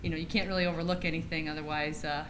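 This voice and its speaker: A young woman, a college basketball player, answering press questions in an earnest, steady way. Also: sits low, very fast, noisy background. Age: young adult. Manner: earnest, steady, leans on fillers. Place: press conference room, seated at table. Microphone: shared table mic.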